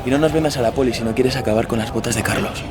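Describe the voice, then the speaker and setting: An actor playing a cocky, menacing neo-Nazi gang leader with an accent from Spain, coarse and swaggering, in an audio drama. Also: male voice, medium pitch, rapid-fire, lightly acted. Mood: quiet threat. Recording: noisy crowded bar scene.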